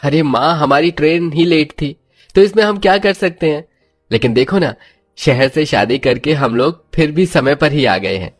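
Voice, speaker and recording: A man playing a sensible young village man in a story, earnest and plain-spoken with a husky voice. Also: fairly high pitch, expressive, moderate pace, no room sound, clean studio sound, soft background music. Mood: explaining.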